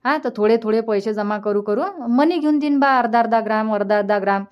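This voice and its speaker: A woman's voice: a little high, fast, clear, resonant tone, muffled recording, lightly acted. A woman playing a generous, practical village mother in a cartoon comedy, insistently reassuring in a Varhadi Marathi accent. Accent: Varhadi Marathi of Vidarbha. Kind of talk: dialect cartoon comedy dialogue.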